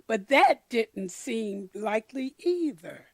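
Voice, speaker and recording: Slow, high-voiced woman reading a storybook. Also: very animated, no room sound. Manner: deliberate, addresses children and explains pictures. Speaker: storyteller reading a children's picture book. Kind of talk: expressive read-aloud for young children.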